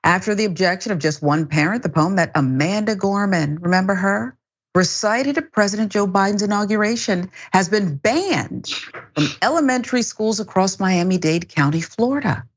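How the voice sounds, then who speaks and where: A woman's voice: medium pitch, animated, normal speed. American woman news host, slightly muffled audio. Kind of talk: news presenting and panel discussion. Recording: dull, band-limited broadcast audio.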